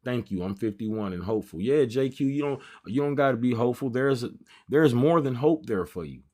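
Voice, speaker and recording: A man's voice: medium pitch, expressive, brisk, mellow. A man in his thirties hosting a trading livestream, talking casually in loose slang and drifting off topic as he chats with viewers. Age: 30s. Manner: loose slangy banter, easily sidetracked. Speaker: trading stream host.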